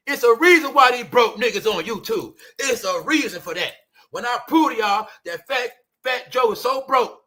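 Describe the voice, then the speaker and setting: High, bright-voiced New man ranting. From Brooklyn, New York. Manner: heated and profane, keeps addressing the audience with "y'all" and "bro". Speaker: livestream host. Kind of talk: ranting livestream commentary.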